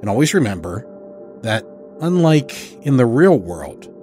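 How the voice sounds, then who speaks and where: A man hosting and narrating a horror fiction podcast, reading from a script in a measured, deliberate way. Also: mid-range voice, sing-song, warm tone, faint music behind. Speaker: podcast host and story narrator.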